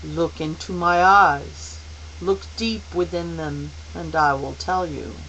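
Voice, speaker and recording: A woman's voice: sits low, slow pace, a bit bright, lo-fi sound, a little hiss or hum, exaggerated voice. A woman doing a sly, mocking man. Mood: bland. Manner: smooth, bland and taunting. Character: man in the chair, cold, sly, mocking adult male.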